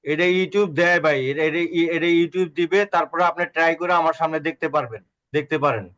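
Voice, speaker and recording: Fairly high-voiced man advising on a call. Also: a little fast, fairly bright tone. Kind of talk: casual group call discussion, giving advice.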